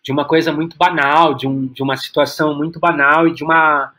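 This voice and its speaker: High-voiced, bright Brazilian man, thinking aloud. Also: flat delivery, fairly quick. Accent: Brazilian Portuguese. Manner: hesitant, searching, repeats words while thinking aloud. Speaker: guest playwright and director. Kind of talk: reflective podcast interview talk.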